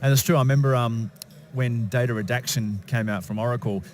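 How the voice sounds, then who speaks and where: A man interviewing a speaker in a conference lounge, chatty and quick, with lots of short acknowledgements. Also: mid-range voice.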